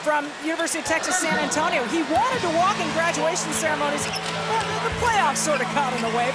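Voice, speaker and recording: Female sideline reporter, chatty, higher voice, music loud behind her. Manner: chatty storytelling delivery.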